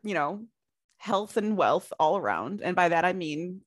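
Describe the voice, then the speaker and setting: A woman's voice: lowish voice, unhurried, no echo, studio quality. A woman co-hosting a podcast, chatting casually and telling stories with some swearing. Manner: chatty, swears, animated storytelling. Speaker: podcast co-host.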